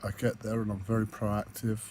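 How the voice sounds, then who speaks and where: Low flat-voiced croaky man. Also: moderate pace, slight background noise. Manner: hesitant, many fillers and restarts. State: croaky, hoarse voice. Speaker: vlogger. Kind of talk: personal video diary. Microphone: tablet.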